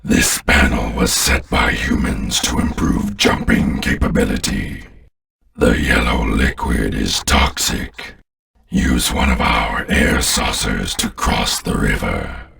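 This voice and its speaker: An extremely deep alien overlord, speaking slowly and with contempt, as in a video game. The tone is grandiose and forceful, with a gravelly grind, and sounds set a little back from the mic. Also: male voice, huge pitch swings, distant mic, exaggerated voice. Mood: stern, instructing. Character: menacing alien warlord commanding an invading army.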